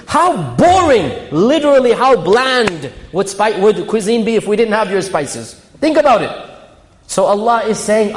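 A man giving a religious lecture, asking his audience rhetorical questions and reciting Arabic verses. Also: high-pitched. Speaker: Islamic lecturer. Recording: long hall reverb.

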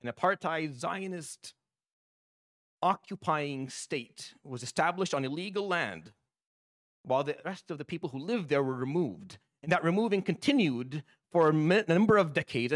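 A man's voice: fairly high pitch, animated, moderate pace, dry recording, studio quality. A man delivering a Friday sermon as imam, impassioned and repeating his points. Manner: impassioned, repetitive.

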